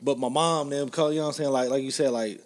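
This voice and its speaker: A man's voice: medium pitch, fairly even intonation, fast. A man in his mid-thirties co-hosting a podcast, rambling in casual chat, joking, and often tagging sentences with "you know what I'm saying". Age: 30s. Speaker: podcast co-host.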